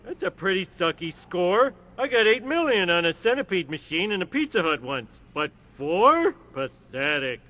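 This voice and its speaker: An actor playing a bumbling, gruff middle-aged cartoon dad who blusters and grumbles, heard in game audio. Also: male voice, high voice, sing-song, moderate pace, few pauses, heavily acted. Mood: boastful. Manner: blustery, gruff, prone to sudden shouts. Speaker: cartoon father. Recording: game audio with music underneath.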